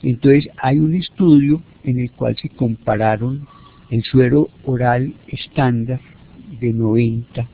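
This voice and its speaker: A man's voice: medium pitch, takes it slowly. Colombian man lecturing over a phone-like line. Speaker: medical lecturer. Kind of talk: teleconference lecture, explanatory. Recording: narrow, phone-like teleconference audio.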